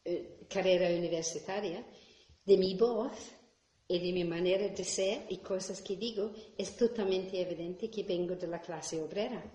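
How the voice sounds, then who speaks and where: A woman's voice: fairly low pitch, moderate pace, warm tone, reverberant, low-quality recording. A woman from Glasgow, a native English speaker, giving a reflective Buddhist talk in her second language, checking in with tag questions and sometimes searching for a word. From Scotland, Glasgow. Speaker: Buddhist teacher. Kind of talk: dharma talk.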